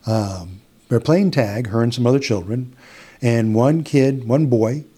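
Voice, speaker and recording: A middle-aged man with an Upper Midwest American accent, recounting a client story in a relaxed, chatty way. His voice is warm and mellow, and he keeps restarting phrases and acting out bits of dialogue. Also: mid-range voice, a little slow, husky. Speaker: hypnotist guest. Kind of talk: casual storytelling in an interview.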